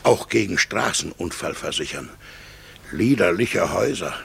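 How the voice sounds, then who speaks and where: A worried preachy middle-aged man in an old radio play, really hoarse-sounding husky voice, swings up and down. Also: sits low, animated, moderate pace, fairly bright tone, exaggerated voice. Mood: breathless, fretful. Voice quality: husky, gravelly. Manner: muttering to himself, fretful, sententious. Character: anxious, moralizing middle-aged man wandering a night town. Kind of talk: 1960s German radio play acting.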